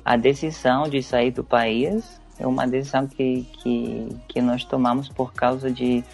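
Young Venezuelan man, native Spanish speaker, gravelly and halting, soft music underneath. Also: mid-range voice, little pitch movement. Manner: hesitant, many restarts and fillers. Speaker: interviewee, English teacher. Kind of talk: casual interview.

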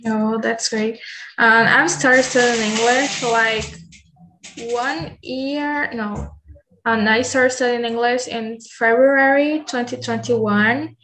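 A woman's voice: on the high side, steady tone, slow, fairly bright tone, a little hiss or hum, rough recording. A nineteen-year-old woman from Brazil with a Brazilian accent, hosting an English conversation group over a video call, hesitant with many ums. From Bahia, Brazil. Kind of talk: casual online English practice chat.

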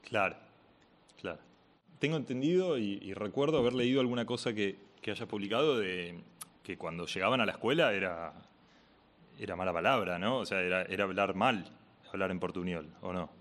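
A male interviewer with a very low voice. He encourages his guest with short replies and phrases his questions with a bit of hesitation. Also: fairly quick, fairly bright tone. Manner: brief affirming interjections, questions posed with hesitation. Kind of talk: conversational interview.

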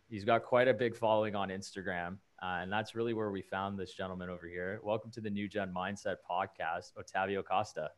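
A man hosting a podcast, talking casually and rambling with fillers. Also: lowish voice. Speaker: podcast host.

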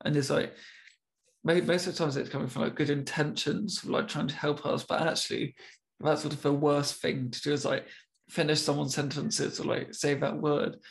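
Young man who stammers, gravelly voice. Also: on the high side, level tone. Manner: stammers, with repeated words and long pauses. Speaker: interviewer. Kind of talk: casual conversation.

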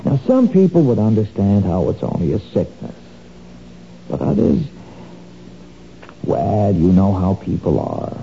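A gravelly, sly blackmailer. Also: male voice, lowish voice, very animated, unhurried, pauses often, exaggerated voice. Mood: menacing. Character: smooth menacing male blackmailer, sly crook. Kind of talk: 1970s radio drama acting. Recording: dull lo-fi old radio recording, faint noise.

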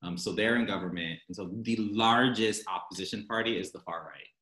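American man, guest on a chat show, bright voice, echoey room, laughs a lot. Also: on the low side, a little fast. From United States. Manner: laughs often, animated storytelling. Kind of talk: casual chat. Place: home in Berlin.

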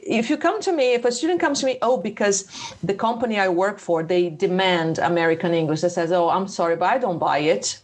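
Lady chatting about teaching english, acts out what people told her in fast little bursts, mid pitch voice. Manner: animated, quotes people in quick bursts. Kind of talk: casual conversation.

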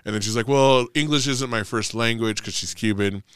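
A man's voice: mid-range voice, a little fast, fairly bright tone, clear, resonant tone, dry recording. A man in his forties co-hosting a podcast, chatting casually and ranting with profanity when angry. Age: 40s. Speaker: podcast co-host. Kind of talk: casual chat.

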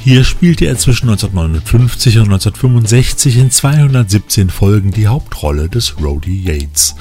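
A man's voice: on the low side, lively intonation, fairly quick. A man reading scripted narration as a podcast host. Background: background music.

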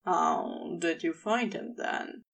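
Woman voicing a slow, dry, teasing husband. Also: fairly low pitch, gravelly, distant mic, pitched below the performer's own voice. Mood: teasing, flirtatious. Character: laid-back, teasing, sceptical husband, adult man.

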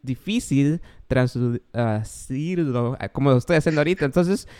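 A man with a Guatemalan accent hosts his podcast, speaking in a clear, smooth voice of middling pitch. He rambles warmly through his questions, full of enthusiasm and playful asides. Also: normal speed. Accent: Guatemalan Spanish. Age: adult, father of a young child. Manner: enthusiastic, rambling, self-described joker. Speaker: podcast host. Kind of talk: casual podcast interview.

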